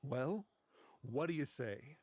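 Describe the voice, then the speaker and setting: Expressive, earnest young scholar. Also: male voice, mid-range voice, slow pace, no echo. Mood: grieving, reflective. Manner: earnest, emotional. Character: devoted, stubborn young scholar, emotional loyal friend. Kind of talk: dramatic video game voice acting.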